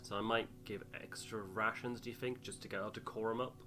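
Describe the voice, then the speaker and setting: Low-voiced man commentating on a game. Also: fairly even intonation, fairly quick. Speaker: host of a game playthrough. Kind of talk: casual gameplay commentary, thinking aloud and reading out game text. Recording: music behind the voice. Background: game music with guitar.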